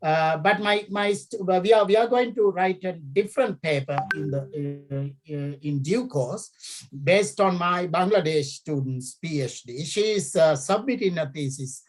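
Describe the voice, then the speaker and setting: A woman's voice: on the low side, moderate pace, low-quality recording. A middle-aged woman from Sri Lanka, an academic talking through her research in a hesitant way, with many fillers and repeated key phrases. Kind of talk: conversational interview.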